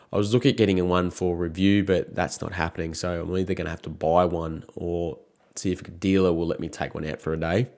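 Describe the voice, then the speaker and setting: A man in his thirties, croaky from a cold, chatting casually and frankly to camera as a motorcycle vlogger. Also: sits low, darkish tone.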